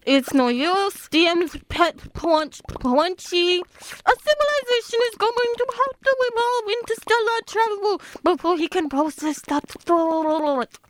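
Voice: very high voice, measured pace, rough recording. A performer voicing a prim, blunt pet goldfish in a comic radio sketch, awkwardly polite in delivery. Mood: blunt, exasperated. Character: Floaty, squeaky dead pet goldfish, prim blunt leader.

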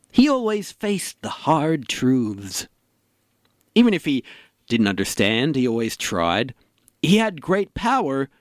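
A man's voice: medium pitch, no room sound, clean studio sound. Solemn, awkward eulogist man acting. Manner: solemn, hesitant. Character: earnest, awkward man giving a funeral eulogy. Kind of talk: comedy radio drama.

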